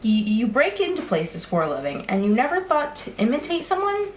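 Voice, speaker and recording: A young woman playing an earnest college student in an audio drama, talking casually in a halting, questioning way. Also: fairly high pitch, wildly swooping pitch. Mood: incredulous, prodding. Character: earnest, hesitant young college student, thief's incredulous friend. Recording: roomy echo, faint background noise.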